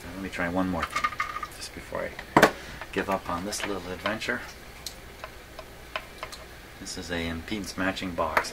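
Low voiced guy tinkering and mumbling thoughts out loud, wry and casual, noisy workshop background. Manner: musing, wry, self-deprecating. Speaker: radio repair hobbyist, host. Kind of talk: casual workshop narration, thinking aloud. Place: indoor electronics repair workshop. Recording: clear background noise in workshop.

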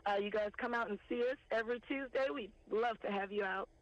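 Calling-in market director, woman, steady list delivery over a tinny phone line. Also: on the high side, dry recording. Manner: steady, unhurried listing. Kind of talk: phone interview, listing products. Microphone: telephone.

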